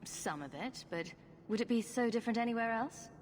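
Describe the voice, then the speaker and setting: A woman's voice: fairly high pitch, expressive, brisk, a little hiss or hum, natural voice. A woman playing a dry, challenging human adventurer in a video game, with a wry, direct way of questioning. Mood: wry, unimpressed. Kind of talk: video game cutscene acting.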